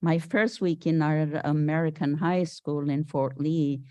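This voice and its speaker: An older woman from Syria, giving a reflective, earnest personal talk as a guest speaker in a halting way. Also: deep voice, fairly even intonation, mellow, no room sound, muffled recording. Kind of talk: personal inspirational talk to a congregation with poem recitation.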